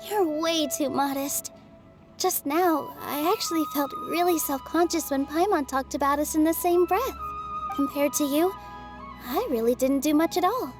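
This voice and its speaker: Woman as a modest young dancer in a game, high light voice, soft and polite, game music behind. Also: normal speed, lightly acted. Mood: gentle. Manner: soft-spoken, polite and self-effacing. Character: gentle young female dancer, kind and modest. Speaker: game character.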